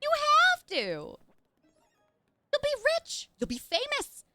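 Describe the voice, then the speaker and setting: A woman playing an excitable, gushing young girlfriend. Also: very high-pitched, slow, over loud music, voice pushed up, exaggerated voice. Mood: excited. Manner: eager and gushing.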